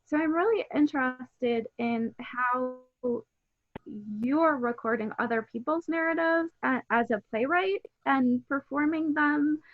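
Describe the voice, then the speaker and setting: A woman's voice: high-pitched, measured pace, no echo. A woman host moderating a video-call talk, asking long thoughtful questions with frequent ums. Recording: dull lo-fi sound.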